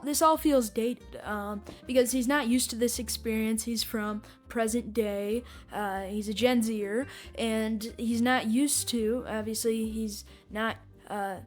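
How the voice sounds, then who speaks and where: A woman hosts a casual review podcast. Her voice is fairly high and she talks in a halting, hesitant way, with music behind her. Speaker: podcast host.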